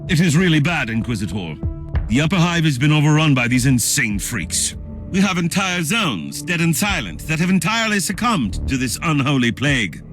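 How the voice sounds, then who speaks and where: A man's voice: a little high, big pitch swings, normal speed, fairly bright tone, soft background music. A man playing a grim, alarmed army captain reporting urgently in a video game. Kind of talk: dramatic video game voice acting.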